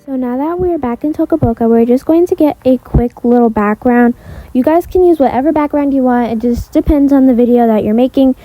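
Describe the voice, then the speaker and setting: A woman's voice: sits high, brisk, no room sound, low-quality recording. A woman giving a casual, chatty step-by-step art tutorial voiceover, speaking directly to her viewers. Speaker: tutorial host, content creator. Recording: soft music behind the voice. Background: background music.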